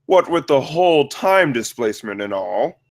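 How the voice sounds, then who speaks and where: A man acting a casual, sheepish father in his fifties at an animated comedy table read. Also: medium pitch, moderate pace. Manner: casual, hesitant, brushing off bad news.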